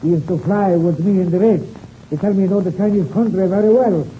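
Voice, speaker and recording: An actor playing a slyly flattering, courteous Russian air race pilot with a Russian accent in a 1930s radio serial. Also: male voice, sits high, quick pace, close to an everyday voice. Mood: keenly interested. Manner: flattering, repeatedly says "my friend". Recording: muffled, hissy old radio recording.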